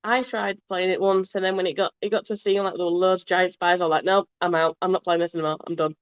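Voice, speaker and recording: Woman, easygoing frank chat, lowish smooth voice, kinda muffled lo-fi audio. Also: level tone, quick pace, dry recording. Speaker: guest co-host. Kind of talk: casual chat. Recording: dull lo-fi sound.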